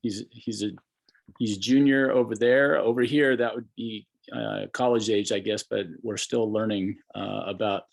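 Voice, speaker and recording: A middle-aged American man with a fairly low voice hosts a podcast. He chats casually and enthusiastically, rambling along in a colloquial way. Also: steady tone, moderate pace, creaky. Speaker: podcast host. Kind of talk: casual podcast interview chat.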